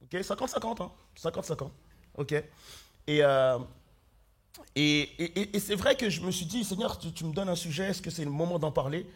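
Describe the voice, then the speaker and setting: A man preaching a sermon as pastor, hoarse with flu, earnestly calling out questions to his congregation. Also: a little high, animated, moderate pace. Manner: earnest, calls out questions to the congregation, many fillers and restarts. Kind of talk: sermon with opening prayer. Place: church hall.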